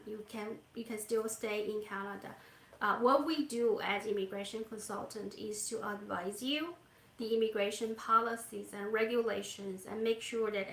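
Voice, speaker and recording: A soft-spoken woman who works as an immigration consultant, explaining steps gently with hesitant fillers. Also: on the high side, reverberant. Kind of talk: explaining to a live audience. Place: Canada.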